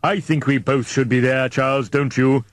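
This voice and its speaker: A man voicing a fatherly, authoritative male expedition leader in an audiobook, booming and pompous, with wordy formal speech. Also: steady tone, moderate pace, no room sound, lightly acted. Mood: breezy, condescending. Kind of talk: audiobook character dialogue.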